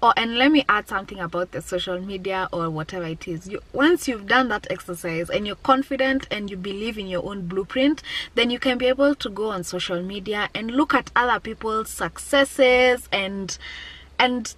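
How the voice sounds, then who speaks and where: A woman's voice: medium pitch, moderate pace, a bit bright, rough recording. A woman in her twenties talking candidly and reflectively to viewers, often repeating key phrases for emphasis. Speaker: vlogger, business owner. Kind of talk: personal reflective talk to viewers.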